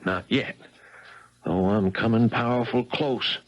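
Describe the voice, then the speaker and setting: A man's voice: medium pitch, measured pace, highs cut off, close to an everyday voice. A man playing a desperate, shifty small-time thief on the run, in a 1970s radio drama. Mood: desperate pleading.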